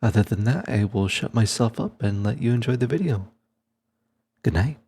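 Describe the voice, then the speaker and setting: A man's voice: on the low side, lively intonation, moderate pace, warm tone, slightly rough. A man who makes ASMR videos, giving a scripted announcement to his viewers. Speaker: ASMR voice actor and streamer.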